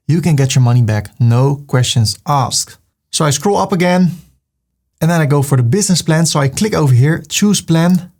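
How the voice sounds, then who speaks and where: A man's voice: fairly high pitch, darkish tone. A man with a Dutch accent, hosting a screen-recorded tutorial and talking through each click in an upbeat way. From Netherlands. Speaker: web tutorial host. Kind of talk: screen-recorded software walkthrough.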